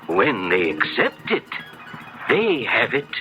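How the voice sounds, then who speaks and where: A sly professor of dark lore in an old radio thriller. He speaks slowly and deliberately, with big theatrical sweeps of pitch, on a dull vintage recording. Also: huge pitch swings, faint music behind, exaggerated voice. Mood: instructive. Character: sly, knowing male professor of the occult. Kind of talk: 1970s radio drama acting. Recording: muffled 1970s radio drama recording.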